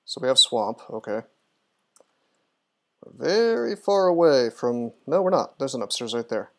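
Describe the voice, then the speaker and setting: A man's voice: mid-range voice, very animated, a little slow, a bit bright. Man doing casual game-stream commentary. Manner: thinks aloud, chatty. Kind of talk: casual live gameplay commentary, talking through moves and answering chat.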